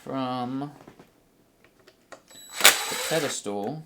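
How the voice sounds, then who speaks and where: A middle-aged man casually explaining a hands-on build, halting as he thinks aloud, in a small home room. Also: medium pitch. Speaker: host of a DIY flight simulator build channel. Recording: faint background room noise.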